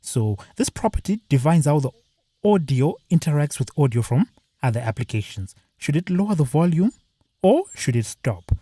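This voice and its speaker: A man with a mellow, warm voice walks through a software tutorial step by step, talking plainly and stopping now and then for a filler. His voice sounds dry and close, with no room around it. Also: a little high, big pitch swings, moderate pace, dry recording. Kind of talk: screen-recorded software walkthrough.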